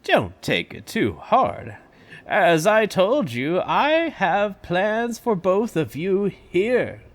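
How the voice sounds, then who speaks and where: A man's voice: high voice, sing-song, slow, full character voice. A man playing a grandiose, cheerful old park founder in an audio drama, with a theatrical, persuasive manner. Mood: smug, reassuring. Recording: music under the voice. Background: music.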